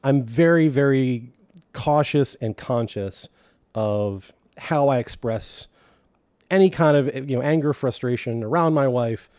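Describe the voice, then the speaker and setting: A man with a naturally loud voice speaking carefully and deliberately as an interviewee. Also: mid-range voice, no echo, clean studio sound.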